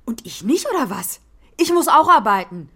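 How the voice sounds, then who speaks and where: A woman's voice: on the high side, sing-song, moderate pace, fairly bright tone, breathy edge, full character voice. An actress playing an irritable, defensive working mother, a hairdresser, with a snappy, sarcastic manner in a children's radio play. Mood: irritated, defensive. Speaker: voice actor in a children's radio drama. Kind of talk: naturalistic German radio play acting.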